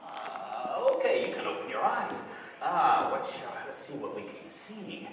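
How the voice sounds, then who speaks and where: A man's voice: medium pitch, very animated, vocal fry. Man playing a swooping theatrical fortune-teller, echoey hall. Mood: mysterious, fussy. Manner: hesitant, theatrical, drawn-out phrases. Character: bumbling kindly male fortune-teller showman, theatrical and mysterious. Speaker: student stage actor. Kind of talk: school stage play acting. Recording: noisy echoey hall, far from mic.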